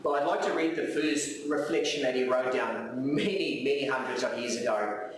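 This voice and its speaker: A man's voice: mid-range voice, moderate pace. A man with a South African accent, a native Afrikaans speaker, preaching earnestly with repeated phrases and rhetorical questions. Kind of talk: sermon with reflective readings. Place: church hall, live service. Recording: very echoey room, distant mic, faint noise.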